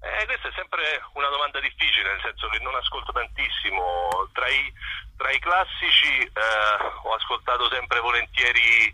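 A man being interviewed as a performer, talking casually and reflectively, often pausing to search for his words. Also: mid-range voice, animated, sharp tone, husky, dry recording, low-quality recording. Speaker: interview guest, singer-songwriter and performer.

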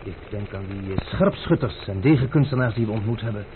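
A man playing a stubborn, teasing gentleman adventurer in a radio play, speaking with mock courtesy and unhurried insolence. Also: on the low side, animated. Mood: musing. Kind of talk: old radio drama acting. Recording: tinny, band-limited old radio recording with hiss.

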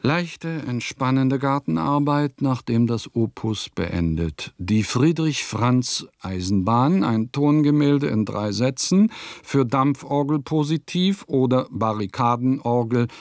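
A man's voice: medium pitch, a little mellow, no room sound, clean studio sound. An actor playing an eccentric, self-important nineteenth-century composer musing theatrically over his diary in a radio play. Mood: musing, proud.

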